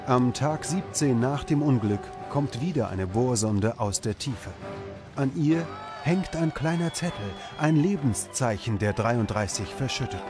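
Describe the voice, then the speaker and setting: A man reading scripted documentary narration in a measured, serious way. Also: medium pitch, animated. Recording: music under the narration. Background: background music.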